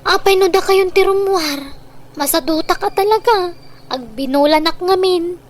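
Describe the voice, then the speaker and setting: A woman's voice: extremely high pitch, moderate pace, slight background noise. A woman playing a reluctant, embarrassed young daughter in a comedy radio drama, protesting whinily with an Ilocano accent. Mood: reluctant, sulky.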